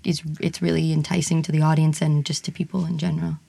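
Low, gravelly-voiced woman. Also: flat delivery, fast, dark tone, no echo. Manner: frank, laughs easily, many fillers. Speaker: burlesque singer, interviewee. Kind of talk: candid interview conversation.

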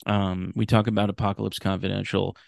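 Creaky-voiced American man chatting casually. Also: deep voice, little pitch movement, fairly quick, darkish tone, no room sound, studio quality. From Sacramento, California, United States. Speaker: podcast guest, host of his own show. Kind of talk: casual podcast chat.

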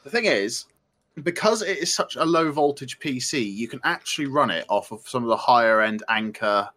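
A man's voice: mid-range voice, animated, normal speed, sharp tone. A young British man co-hosting a podcast, explaining gadgets in a casual, keen way. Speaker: podcast co-host. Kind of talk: casual tech chat.

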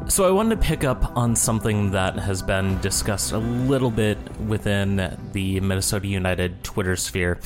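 A man co-hosting a sports podcast, presenting a segment in a measured, deliberate way. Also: lowish voice, music in the background. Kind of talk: measured sports commentary chat.

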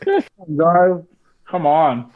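A man with a fairly high voice answers trivia with confident, brief replies. He comes through a tinny line that sounds like a phone. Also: huge pitch swings, very slow. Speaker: podcast co-host. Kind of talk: casual chat.

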